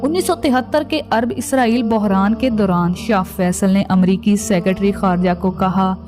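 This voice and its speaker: A woman's voice: medium pitch, moderate pace. A woman reading scripted documentary narration as the narrator of a biographical history piece. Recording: background music under voice. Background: music.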